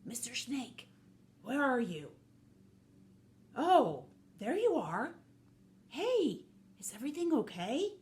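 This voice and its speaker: A woman's voice: high voice, big pitch swings, takes it slowly, pauses often, darkish tone, voice pushed up, heavily acted. A woman voicing an earnest, encouraging and anxious male wolf in a children's storybook, eager and trusting. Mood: concerned. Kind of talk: children's storybook character reading.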